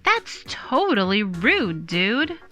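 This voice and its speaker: A woman's voice: medium pitch, sing-song, slow, bright, forceful tone, faint music behind, exaggerated voice. A woman voicing an easily offended male frog in a children's storybook, with a slangy, indignant manner.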